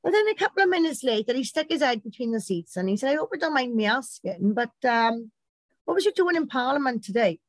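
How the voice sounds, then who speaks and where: Loud chatty British woman. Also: sits high, sing-song, quick pace, no room sound. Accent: British English. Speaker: campaign group organiser. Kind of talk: casual chat.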